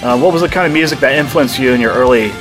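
A man's voice: mid-range voice, rapid-fire. Upbeat male podcast host over guitar music. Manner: friendly, loose, light laughs. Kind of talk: scripted podcast intro and casual interview.

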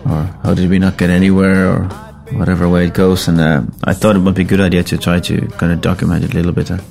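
A middle-aged Finnish-born man with a Finnish accent, a rock musician talking casually in English, his second language, in a halting, rambling, self-deprecating way. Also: deep voice, little pitch movement, vocal fry, a little mellow, soft background music. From Finland. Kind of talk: casual spoken account.

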